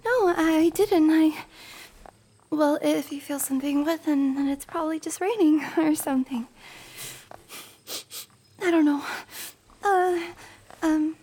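A woman's voice: high-pitched, takes it slowly, pauses often, mellow. A woman playing a shy, stammering young elf. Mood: embarrassed. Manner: nervous, stammering, apologetic, many whispers and giggles. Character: shy, flustered young female elf traveler. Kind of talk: audio roleplay.

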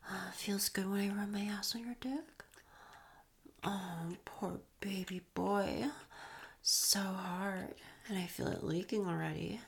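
A young adult woman performing an intimate audio roleplay in a soft, teasing whisper with breathy murmurs and quiet chuckles. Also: medium pitch, steady tone, takes it slowly.

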